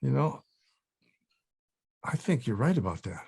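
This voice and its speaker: Husky, animated grumpy older man by an actor. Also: mid-range voice, a little slow, heavily acted. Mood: wry, grumbling. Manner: blunt, grumbling, sweary. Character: Art, grumpy profane older actor, defensive pal. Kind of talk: table read of a comedy script.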